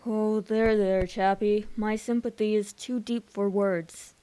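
A woman playing a genial, foppish young English gentleman in a radio drama, with jaunty old-fashioned talk. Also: medium pitch, steady tone, slow, close to an everyday voice, low-quality recording. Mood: sympathetic.

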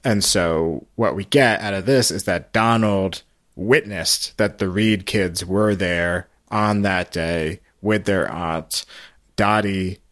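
A man hosting a podcast, recapping a story in a casual, halting, explanatory way. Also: deep voice, a bit bright, no room sound, studio quality. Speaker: podcast host.

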